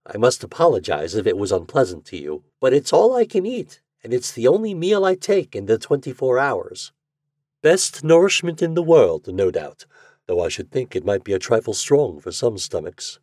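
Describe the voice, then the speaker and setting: A man voicing a sinister, cunning gentleman from an old horror story, eerily calm yet intense in manner. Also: medium pitch, moderate pace, dry recording, studio quality. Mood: apologetic, eager. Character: sinister, cunning male host obsessed with raw meat.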